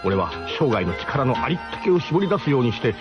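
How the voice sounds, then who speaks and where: A man with a medium-pitched voice narrates a scripted first-person radio story. His intonation is lively and varied, in a literary storytelling style. Music plays clearly behind him in the broadcast. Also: brisk, lo-fi sound.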